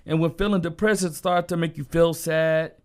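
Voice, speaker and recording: A man co-hosting a casual talk show, a bit hoarse from a sore throat, talking in a loose, laid-back way with lots of fillers. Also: a little high, fairly even intonation, moderate pace. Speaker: co-host. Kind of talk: casual podcast chat.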